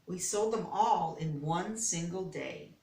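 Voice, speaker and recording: A woman reading a children's story aloud, pausing to explain words and pictures as she goes. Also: fairly low pitch, warm tone. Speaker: storybook reader. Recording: echoey room, distant microphone.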